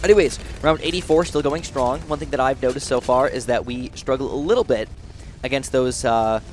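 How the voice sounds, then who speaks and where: A man's voice: mid-range voice, fairly quick, a little hiss or hum. Nasal young man narrating gameplay. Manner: rambling, excitable, thinks aloud. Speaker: gaming channel host. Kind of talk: casual gameplay commentary.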